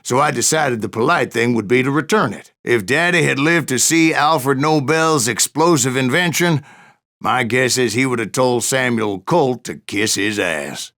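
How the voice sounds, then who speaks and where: A grizzled old American bounty hunter from a Western video game. His voice is heavy with gravel and fry, sitting at a middling pitch. He spins his own story in a folksy, wry, dramatic storytelling manner. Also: moderate pace, bright, forceful tone. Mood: wry, reflective. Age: older. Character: grizzled old Wild West bounty hunter spinning tales. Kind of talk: dramatic first-person game narration.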